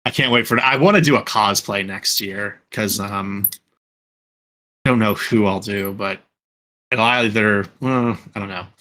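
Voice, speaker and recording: A man's voice: on the low side, a little slow, pushed and bright. A young man hosting a casual podcast chat from home, rambling with many fillers and restarts. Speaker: podcast host.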